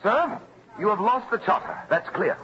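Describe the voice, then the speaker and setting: An actor playing a self-assured colonial militia captain in a radio drama, arguing firmly and formally. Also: male voice, sits high, lively intonation, bright, forceful tone. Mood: firm, confident. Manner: firm, formal, measured argument. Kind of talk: golden age radio drama acting. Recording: muffled vintage radio recording.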